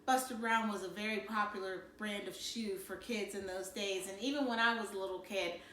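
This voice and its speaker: A woman reading a children's novel aloud in an expressive storytelling style. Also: medium pitch, brisk, echoey room, slight background noise, poor audio. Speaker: storybook reader.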